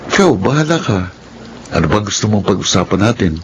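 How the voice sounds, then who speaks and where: A man's voice: lowish voice, sing-song, brisk, a little hiss or hum, low-quality recording. An actor playing a smitten, teasing and persistent young man in a Filipino radio drama.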